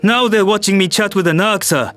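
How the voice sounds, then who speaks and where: A man's voice: sits high, fairly quick, a bit bright, faint music behind. A man playing a harried human world leader, tense and exasperated.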